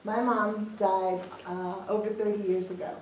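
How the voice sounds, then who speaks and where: Calm middle-aged woman in an echoey room. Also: mid-range voice, normal speed. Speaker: death doula panelist. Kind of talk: personal talk to an audience. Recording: echoey room, far from the mic, some noise.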